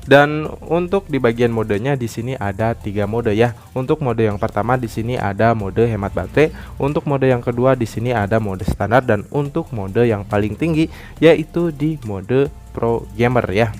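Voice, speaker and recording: A man's voice: medium pitch, normal speed, a little mellow. Man narrating a step-by-step tutorial. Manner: step-by-step explaining, repetitive phrasing. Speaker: tutorial channel host. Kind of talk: phone app tutorial narration. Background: background music.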